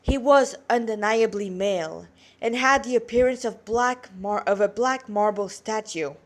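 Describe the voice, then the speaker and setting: A woman's voice: on the high side, measured pace. A woman with a congested nose reading a fantasy story aloud as an amateur narrator.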